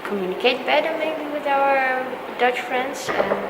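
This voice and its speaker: A woman's voice: sits high, unhurried. Young Eastern European woman, echoey noisy hall. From Belarus. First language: not English; Polish is second language. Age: young adult. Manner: encouraging, reassuring. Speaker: exchange student giving advice. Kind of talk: casual advice chat. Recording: echoey hall with loud background noise.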